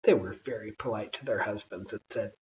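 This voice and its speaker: An adult reader narrating a bedtime story in an expressive, measured storytelling manner. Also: mid-range voice, distant mic, muffled recording, a little hiss or hum.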